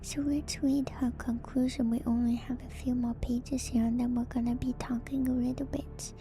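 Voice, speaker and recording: A woman whispering in an ASMR style as a streamer, reading aloud and musing on it in a hushed, hesitant way. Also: fairly high pitch, flat delivery, normal speed. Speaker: streamer reading and discussing game lore. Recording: music behind the whispering voice. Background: music.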